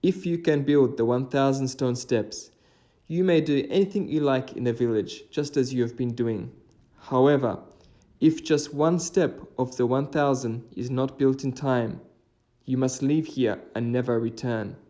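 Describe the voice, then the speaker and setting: A man voicing a crafty mountain god in a folktale, with a formal, deliberate, commanding delivery. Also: medium pitch, warm tone, natural voice. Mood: commanding, stern.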